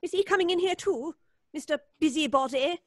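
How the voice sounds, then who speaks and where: A woman's voice: high-pitched, fairly quick, no echo, studio quality, lightly acted. A woman playing a prickly, defensive, prim neighbor in an old-time radio suspense drama, with theatrical delivery. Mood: disdainful.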